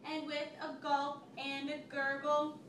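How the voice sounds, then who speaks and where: A woman's voice: high voice, completely flat delivery, takes it slowly. A woman teacher reading a picture book aloud to a child, telling it expressively and stopping to ask questions. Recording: echoey room, recorded from far off, noisy.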